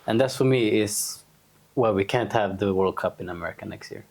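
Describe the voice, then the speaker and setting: A man's voice: on the low side, fairly quick, a little mellow. A young man hosting a casual football podcast, easygoing, laughing often and steering the segments. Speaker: podcast host.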